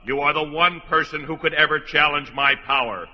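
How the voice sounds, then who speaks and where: An actor playing an arrogant, power-hungry young sorcerer with a haughty, defiant manner. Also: male voice, a little high, steady tone, a little fast, lightly acted. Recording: long reverb, dull radio play with music.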